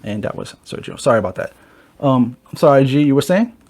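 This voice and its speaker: A man's voice: mid-range voice, very animated, a little fast, darkish tone. A man hosting a casual podcast chat who talks in a loose way and swears freely. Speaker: podcast host.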